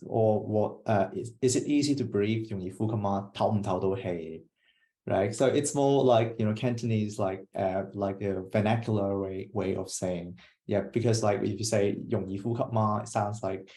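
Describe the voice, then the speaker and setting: Low, warm-voiced man explaining on a call. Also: normal speed. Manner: explanatory, loose, many fillers. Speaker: roundtable speaker. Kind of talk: online panel discussion. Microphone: video call.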